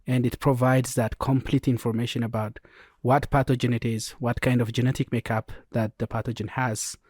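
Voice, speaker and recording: A man with an Ethiopian accent, explaining as an expert guest in a podcast interview in a measured way. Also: mid-range voice, little pitch movement, a little mellow, no echo, studio quality. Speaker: genomics programme lead, interview guest.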